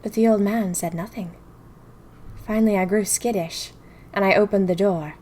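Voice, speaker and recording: Casting: female actor as dramatic, curious young woman of the twenties telling her diary, expressive audio-drama storytelling. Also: mid-range voice, normal speed, lightly acted. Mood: suspenseful, bewildered. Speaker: audio fiction narrator. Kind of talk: first-person diary narration in a fiction podcast.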